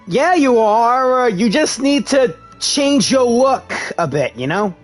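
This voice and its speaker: A man's voice: very high-pitched, sing-song, slow pace. A man live-streaming a game, casually reacting and reading dialogue aloud as he plays. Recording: faint game music behind.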